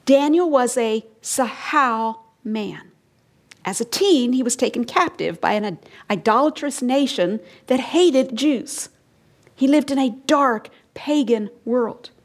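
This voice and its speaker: A woman preaching an expository sermon, emphatic and rising and falling in tone, sometimes dropping to a hush and asking her listeners questions. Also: fairly high pitch. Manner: emphatic, rising and falling, drops to hushed lines; explains and asks the listeners questions.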